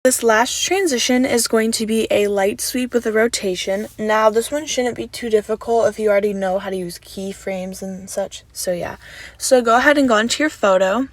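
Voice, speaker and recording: A young woman walking viewers through video editing steps in a relaxed, chatty way, her voice a little raspy because she has just woken up. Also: mid-range voice, moderate pace, no room sound. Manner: relaxed, chatty step-by-step instructions with "so yeah" fillers. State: slightly raspy from just waking up. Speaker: video editing tutorial creator. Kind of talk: casual screen-recorded tutorial voice-over.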